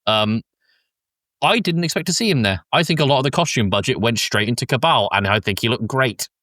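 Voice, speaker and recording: A man's voice: medium pitch, animated, a little fast, pushed and bright, dry recording, clean studio sound. A man hosting a casual, informal film discussion, explaining things in a geeky way with some swearing. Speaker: podcast host.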